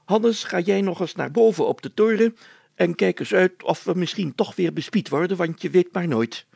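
A blustering, self-important storybook mayor, played by a male narrator, pitched up high with a heavy creak. He grumbles, whines and commands with stubborn pomp. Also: normal speed, a little mellow, no room sound, full character voice. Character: pompous, grumpy male mayor, whiny and stubborn. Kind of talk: children's storybook character acting.

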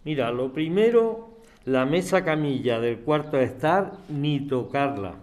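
A man's voice: mid-range voice, lively intonation, measured pace, darkish tone, close to an everyday voice. An actor with an accent from Spain playing a grumpy, distrustful older man in an audio drama, curt and bossy. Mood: grumpy, warning. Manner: curt, grumbling, commanding. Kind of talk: acted audio drama dialogue.

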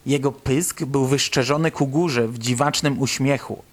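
Mężczyzna, narrator o średnim głosie. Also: male voice, mid-range voice, steady tone, a little slow. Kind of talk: scripted literary narration for radio drama.

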